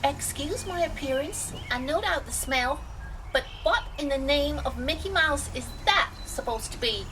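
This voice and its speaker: Woman playing a high-voiced, tart, bossy mystic. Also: lively intonation, moderate pace, background noise. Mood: scornful. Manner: theatrical, formal, caustic. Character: tart, bossy woman posing as mysterious soothsayer. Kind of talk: comedy audio drama acting.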